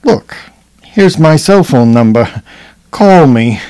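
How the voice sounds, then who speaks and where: A smooth male corporate lawyer in a radio play, acted by a man. He is flirtatious and pushy, coaxing between small hesitations, at an ordinary middle pitch. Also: animated, slow, mellow. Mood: coaxing, eager. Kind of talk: radio theatre acting.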